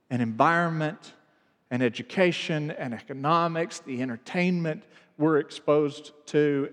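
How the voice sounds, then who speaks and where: A man's voice: fairly high pitch, long reverb tail. A man from the American South preaching a reflective sermon as pastor, earnest and building in emphasis.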